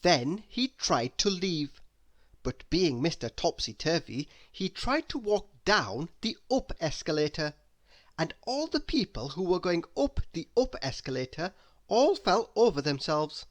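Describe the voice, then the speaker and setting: A man reading a children's story aloud in an expressive, deliberate way. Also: on the high side. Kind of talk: expressive scripted narration for children.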